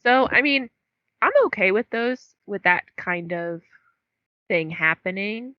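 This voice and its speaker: A woman's voice: medium pitch, lively intonation, slow, no room sound. A young woman co-hosting a book podcast, chatting casually with lots of fillers and laughing at herself. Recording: dull dry lo-fi recording.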